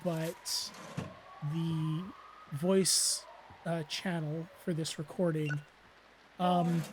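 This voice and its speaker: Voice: mid-range voice, little pitch movement, very slow, slight background noise, poor audio. Rambling gamer with a weakened, strained voice. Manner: rambling, filler-heavy, self-deprecating. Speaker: let's play host. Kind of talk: casual gameplay commentary.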